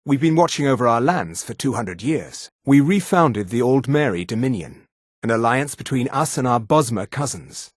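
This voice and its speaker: Man as haughty male high elf, fantasy game dialogue, condescending, husky, very animated intonation, dry close recording. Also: normal speed, no room sound, natural voice. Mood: haughty, proud. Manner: pompous, condescending, precise. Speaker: video game character.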